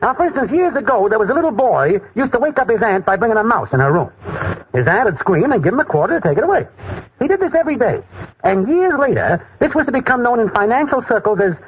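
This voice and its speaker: An actor playing a dry, deadpan professor in a 1940s radio comedy, talking slowly and deliberately on a scratchy broadcast with heavy hiss. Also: male voice, very high voice, slightly rough, dull audio, exaggerated voice.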